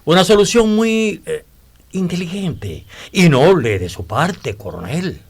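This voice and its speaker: An actor playing a courteous, flattering gentleman newcomer in a historical radio drama, speaking formally and expressively. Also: a little high, slow pace, vocal fry, breathy edge, heavily acted.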